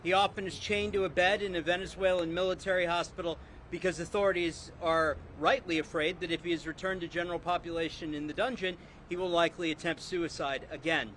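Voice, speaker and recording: Guy emceeing a street event, kinda high voice, quick intros with ums. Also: fairly even intonation, fairly bright tone, slight background noise, poor audio. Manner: brisk, filler-laden emcee delivery. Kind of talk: introducing speakers at a press event. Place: outdoors on a city street.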